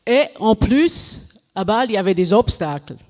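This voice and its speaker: A woman giving a conference talk in French, which is not her first language, halting and correcting herself as she goes. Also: mid-range voice, animated, darkish tone. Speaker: conference speaker. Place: conference hall. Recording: reverberant hall.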